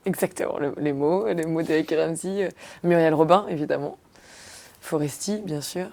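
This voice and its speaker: Young woman comedian, chatty and halting, slightly low voice; interview guest. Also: creaky, warm tone. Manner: chatty, hesitant, many fillers and restarts. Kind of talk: casual interview chat.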